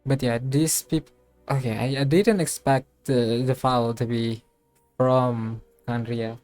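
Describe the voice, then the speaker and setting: A man's voice: mid-range voice, fairly even intonation, a little mellow. Man musing through game commentary, music behind. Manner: musing aloud, thinking through things haltingly. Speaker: game streamer. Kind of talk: casual playthrough commentary.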